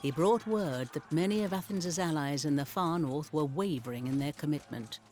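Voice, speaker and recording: A man's voice: fairly high pitch, normal speed, a little mellow, soft background music, natural voice. A man narrating a historical strategy game as a dramatic storyteller of ancient campaigns. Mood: measured storytelling. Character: male storyteller recounting ancient Spartan campaigns.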